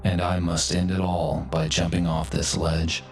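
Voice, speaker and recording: Deep, monotone, gloomy office drone. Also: male voice, measured pace, gravelly, soft background music. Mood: despairing, deadpan. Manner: deadpan, stilted, formal, morose. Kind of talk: video game voice acting, melodramatic monologue.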